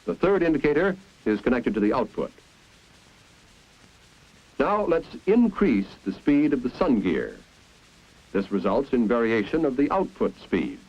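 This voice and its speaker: Man, American accent, 1950s training film narration, lively intonation, slightly husky, dull old film soundtrack. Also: mid-range voice, a little slow, pauses often. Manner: clear didactic explaining.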